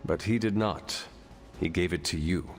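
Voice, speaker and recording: Stiff formal hero, fairly low voice, talks really proper, music in back. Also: male voice, normal speed. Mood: earnest. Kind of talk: video game cutscene.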